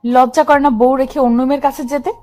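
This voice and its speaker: Casting: female actor as possessive, scolding, loving young wife for romance audio drama, very high pitch, music underneath. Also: steady tone, fast, warm tone, natural voice. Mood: jealous, scolding. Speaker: female lead of an audio love story.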